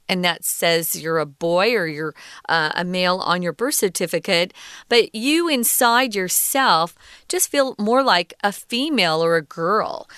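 A woman teaching English. She reads a passage aloud and patiently explains each word, often pausing with "um" and "uh.". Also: fairly low pitch, fairly bright tone, clear, resonant tone, dry recording, clean studio sound. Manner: patient, defines words step by step; frequent ums and uhs.

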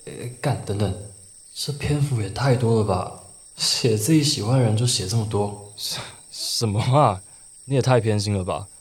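Low-voiced, dreamy Taiwanese schoolboy played by a man. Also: moderate pace, a little hiss or hum, natural voice. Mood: teasing, incredulous. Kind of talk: Mandarin radio play acting and first-person narration.

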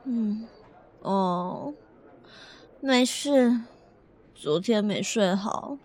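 A woman's voice: medium pitch, drawn-out words, darkish tone. A woman voicing a flustered young office newbie on probation who stammers and whines. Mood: gloomy. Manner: jittery, stammering, whiny. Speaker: lead in an audio drama. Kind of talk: audio drama acting.

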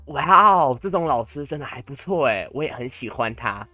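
Animated young Taiwanese Mandarin podcast guy. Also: medium pitch, moderate pace, dry recording, soft background music. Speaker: podcast co-host. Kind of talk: casual bantering podcast chat.

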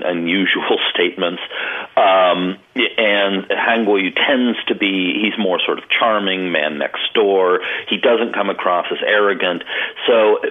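Low-voiced man on a tinny phone line. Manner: hesitant, many pauses and restarts. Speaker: co-host commenting on news. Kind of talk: casual political commentary.

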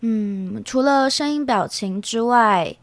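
A woman's voice: fairly high pitch, takes it slowly, dry recording. Young Taiwanese woman with a dry, close sound. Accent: Taiwanese Mandarin. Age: young adult. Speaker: student interviewee. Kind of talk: casual interview answers.

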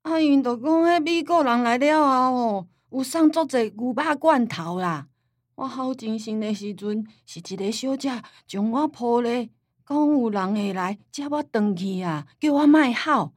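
An actress playing an elderly, forgetful, stubborn grandmother in a radio drama, with a Taiwanese accent and rambling, drawn-out speech. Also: on the high side, a little mellow, no room sound, studio quality. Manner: rambling, drawn-out sentence-end particles.

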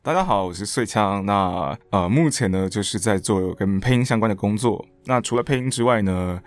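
A man's voice: on the low side, moderate pace. A man chatting casually as an interview guest. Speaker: interview guest, voice actor and stage director.

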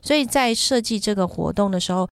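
A woman's voice: medium pitch, brisk, gravelly, clear, resonant tone, no echo, clean studio sound. A middle-aged woman in casual podcast conversation, explaining things at length with examples. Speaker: podcast guest and parent who runs children's summer camps.

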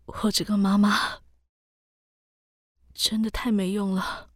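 A woman's voice: medium pitch, level tone, takes it slowly, no echo, studio quality. An actress playing an ailing, guilt-ridden mother in a radio drama. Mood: guilty, frail. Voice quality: weak, breathy half-whisper.

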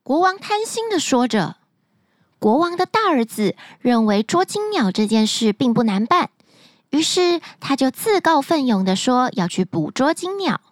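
Woman storyteller, high voice, very lively melody, bedtime tale, dry close recording. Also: normal speed, no room sound. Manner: expressive, sing-song storytelling.

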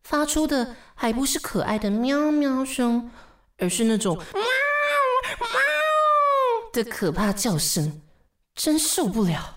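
A woman's voice: fairly high pitch, sing-song, slow. A woman playing an exasperated, dryly bossy office secretary in a radio drama. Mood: exasperated, sardonic. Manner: dry, bossy, world-weary. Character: brisk, exasperated, sardonic female office secretary.

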